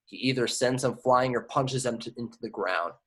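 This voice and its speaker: Casting: male stage-directions reader, medium pitch, plain scripted narration with occasional stumbles. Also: normal speed, a bit bright, slightly rough. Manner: stumbles over and repeats words. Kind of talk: scripted action narration at a table read.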